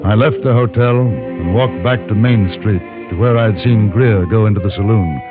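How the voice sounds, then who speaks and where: An actor playing a calm, probing frontier gentleman who is hero and narrator of a Western radio drama, measured and understated in his questioning. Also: lowish voice, lightly acted. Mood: narrating. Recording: dull old radio recording with music.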